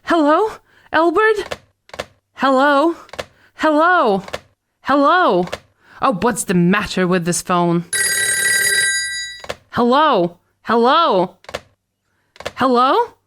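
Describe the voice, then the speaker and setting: Woman playing a fretful, high-voiced bedridden wife. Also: very animated, very slow, long pauses, a bit bright, a little hiss or hum, full character voice. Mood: impatient, fretful. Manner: agitated, fretful, imperious, complaining. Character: nervous, demanding, bedridden invalid wife, querulous and self-pitying. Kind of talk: radio drama acting.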